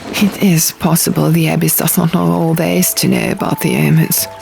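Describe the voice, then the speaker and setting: A woman's voice: sits low, little pitch movement, normal speed, creaky, a little mellow, faint music behind, rough recording. A woman playing a troubled, urgent young woman in an audiobook, speaking in a terse, strained way. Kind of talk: audiobook character dialogue.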